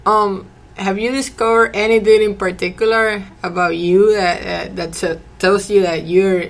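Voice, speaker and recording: Woman podcast host, friendly and hesitant, asks casual questions, many fillers, mid-pitched voice. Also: steady tone, normal speed. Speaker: podcast interviewer.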